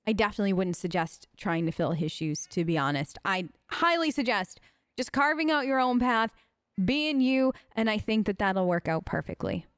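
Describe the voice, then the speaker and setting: A woman's voice: mid-range voice, lively intonation, moderate pace, a bit bright, clear, resonant tone, dry recording. Female let's play host, casual earnest role-play, dry close mic. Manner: informal, earnest, empathetic. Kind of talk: casual role-play game commentary, talking in character.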